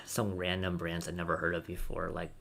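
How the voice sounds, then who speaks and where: A man's voice: sits low, monotone, fairly quick, vocal fry, a bit bright. A man co-hosting a podcast, talking casually in a roundtable discussion. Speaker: podcast co-host.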